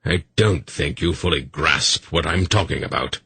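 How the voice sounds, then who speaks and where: A man voicing a testy, gruff old man who turns quietly menacing, in a low husky voice. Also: normal speed, no room sound, exaggerated voice.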